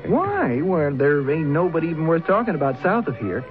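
Folksy colonial country fellow, swooping voice. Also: male voice, a little high, huge pitch swings, normal speed, over music. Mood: folksy, eager. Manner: folksy, stammering, ungrammatical country talk. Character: jealous, plainspoken rustic young colonial Virginian suitor. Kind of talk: old-time radio drama acting. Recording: dull old radio recording.

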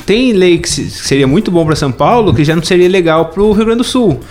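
A man's voice: sits high, animated, a little fast, darkish tone, faint music behind. A man with a Brazilian accent chatting casually as a podcast host. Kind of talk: casual chat.

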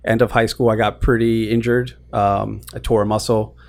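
A man's voice: lowish voice, level tone, moderate pace, creaky. A young man from Queens, New York, talking casually as an interview guest about starting his business, easygoing and self-deprecating with frequent restarts. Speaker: guest, lending business co-founder.

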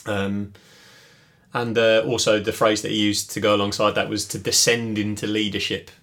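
Man, lowish voice, podcast co-host, thoughtful, hesitant, casual chat. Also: little pitch movement, normal speed, fairly bright tone. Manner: thoughtful, many fillers and restarts.

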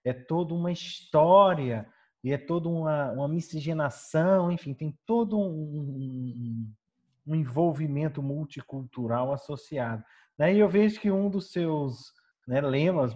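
A man's voice: on the high side, a little slow, a little mellow. A man hosting a conversational interview, wordy, circling around his questions with frequent tag questions. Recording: dull, muffled lo-fi audio.

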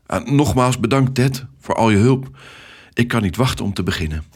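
A man's voice: medium pitch, expressive, normal speed, slightly rough. A man playing a nervous, awkward, polite man starting a new job in a children's story, hesitant and earnest. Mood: pleased, grateful.

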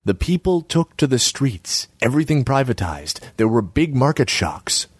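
A man's voice: medium pitch, sing-song, moderate pace, breathy edge, no echo, studio quality, voice pushed up. A man putting on a haughty young woman artist. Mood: haughty lecturing. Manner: scornful, lecturing, self-important.

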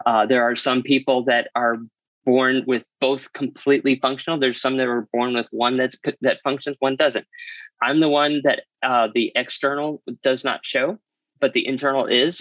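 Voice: mid-range voice, a bit bright, no echo, rough recording. An interview guest telling a personal story in a candid, explanatory, matter-of-fact way.

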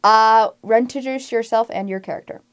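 A woman's voice: fairly high pitch, normal speed, clear, resonant tone. A woman asking questions as an interviewer in a casual, informal way.

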